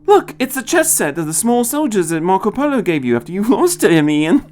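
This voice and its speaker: A man's voice: sits high, sing-song, a little fast, darkish tone, voice pushed up. A man voicing an eager, teasing teenage granddaughter in a storybook, with a lilting delivery. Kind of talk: dramatized storybook reading.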